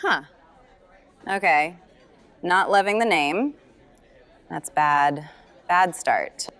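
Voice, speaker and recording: An actress playing a teasing, affectionate middle-aged aunt in an audio drama, wry and playful, stretching words for emphasis. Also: female voice, lowish voice, expressive, very slow, fairly bright tone, some chatter behind, heavily acted. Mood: skeptical, wry. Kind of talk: naturalistic audio drama dialogue.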